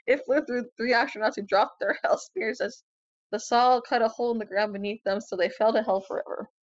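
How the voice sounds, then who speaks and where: A woman's voice: a little high, fairly even intonation, brisk, no room sound. A woman co-hosting a casual read-aloud of fan fiction, somewhat hesitant, on a dull lo-fi recording. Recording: dull, lo-fi, dry close sound.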